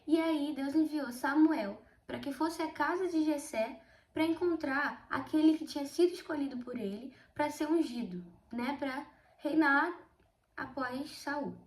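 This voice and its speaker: A sixteen-year-old girl with a Brazilian accent, slightly hoarse from a cold, chatting through a casual Bible study vlog and often addressing her viewers. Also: high-pitched, unhurried, roomy sound. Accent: Brazilian Portuguese. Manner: chatty, keeps addressing viewers, emphatic storytelling. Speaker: video host.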